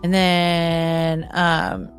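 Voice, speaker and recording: American woman, fairly low voice, casual live stream host, rambling and unfiltered, light music behind. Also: level tone, very slow. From United States.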